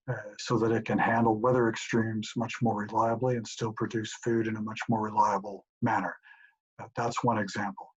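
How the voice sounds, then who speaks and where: A middle-aged professor calmly explaining his answers to questions sent in by an audience. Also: on the low side, slightly rough. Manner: measured, explanatory. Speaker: professor and institute director. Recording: dull lo-fi home recording.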